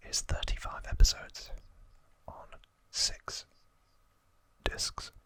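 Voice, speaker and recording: An ASMR video host talks in a bright, sibilant whisper. The delivery is very slow and drawn-out, with soft phrases set apart by pauses, in the manner of a whispered trivia talk. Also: no echo.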